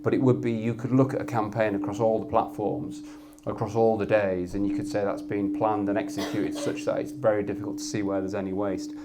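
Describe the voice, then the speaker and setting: A man with a Yorkshire accent and a loud voice, speaking as a guest on a live industry panel and often restarting his sentences as he thinks. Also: fairly low pitch, level tone, brisk, heavy background noise. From Yorkshire, England. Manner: thoughtful, with frequent restarts and repeated words. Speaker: head of media effectiveness, guest. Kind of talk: panel discussion at an industry event.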